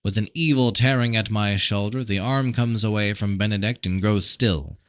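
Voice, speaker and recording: A man reading a fantasy novel as scripted narration, with dramatic, measured delivery. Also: lowish voice, fairly bright tone, no room sound. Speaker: audiobook reader.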